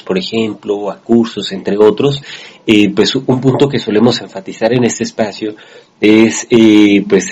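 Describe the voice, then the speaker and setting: Male host, low husky voice, polite and formal, dull lo-fi sound. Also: a little mellow. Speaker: interview host. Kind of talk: formal interview questions.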